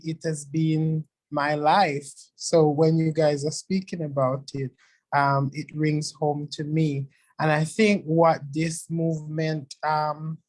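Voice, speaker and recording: A man from Antigua and Barbuda with an Antiguan accent and a soft voice, speaking as a webinar panelist in a thoughtful, measured way with frequent ums. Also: fairly high pitch. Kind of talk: panel discussion on a video call. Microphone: video call.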